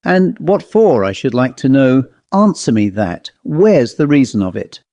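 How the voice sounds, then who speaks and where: A man's voice: medium pitch, sing-song, measured pace, warm tone, no room sound. A man voicing a grumpy, indignant, self-important owl in a story, complaining in rustic, ungrammatical speech. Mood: indignant, bitter. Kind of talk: storybook character voice.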